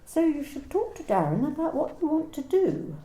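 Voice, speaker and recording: Woman doing a cold clipped manager, high swooping voice, echoey home room. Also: big pitch swings, normal speed, mellow. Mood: stern. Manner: clipped, coolly formal, pressing. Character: cold, controlling female company manager. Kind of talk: amateur radio play acting. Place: home during lockdown.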